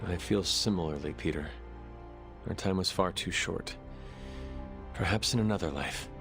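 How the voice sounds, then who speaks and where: A formal stoic hero. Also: male voice, deep voice, music in the background. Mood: calm. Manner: formal, measured, calm. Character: formal stoic young male hero, calm leader. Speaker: player character hero. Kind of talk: video game cutscene.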